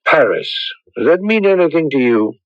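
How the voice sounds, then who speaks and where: A man playing a grave, thoughtful priest in a radio drama, speaking in a measured, probing way. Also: on the high side, big pitch swings, no echo. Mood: solemn, intent. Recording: muffled old radio broadcast.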